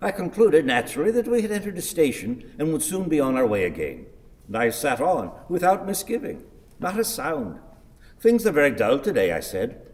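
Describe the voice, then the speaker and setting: An actor playing a grumpy, long-winded old husband in a radio play, with ponderous, pedantic, theatrical delivery. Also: male voice, mid-range voice, long reverb tail, natural voice. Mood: brooding recollection. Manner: declamatory, ponderous, wordy.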